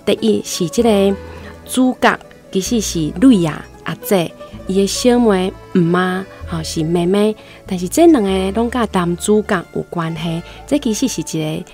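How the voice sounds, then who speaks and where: A woman with a Taiwanese accent giving explanatory literary commentary as a guest professor, using frequent tag particles. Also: mid-range voice.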